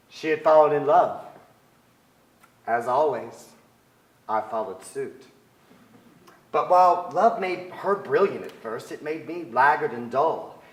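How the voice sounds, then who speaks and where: A sensitive writer in his forties confesses boyhood longing in a theatrical reading. His voice sits in a middle register and echoes through the auditorium. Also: medium pitch. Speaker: actor in a staged reading. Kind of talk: theatrical literary narration. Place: large theater stage with audience. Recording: echoey theater stage sound.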